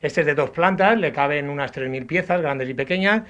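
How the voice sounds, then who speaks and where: Higher-pitched man with Alicante accent, masked. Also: a bit bright, muffled recording. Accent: southeastern Spanish, Alicante. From Agost, Alicante province, Spain. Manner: halting, repeats words, frequent tag questions. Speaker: artisan potter, interviewee. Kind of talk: informal on-location explanation. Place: indoors in old pottery workshop and brick kiln. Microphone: handheld reporter's microphone.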